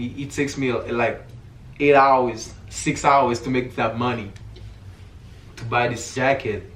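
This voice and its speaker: Low-voiced young Haitian man, echoey room. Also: a bit bright. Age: young adult. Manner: informal, slangy, repeats filler phrases like "you feel me". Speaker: vlogger. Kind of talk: casual, earnest personal talk to viewers. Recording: roomy echo, distant mic, faint noise.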